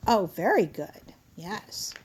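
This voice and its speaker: A woman's voice: medium pitch, very animated, slow pace, darkish tone, breathy edge. A woman helping a young child read a picture book aloud, casually prompting, echoing lines and encouraging in child-directed speech.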